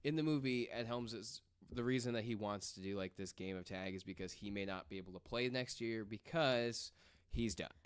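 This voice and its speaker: A man's voice: lowish voice, normal speed, smooth. A man telling a story casually as a podcast guest, in loose, informal wording.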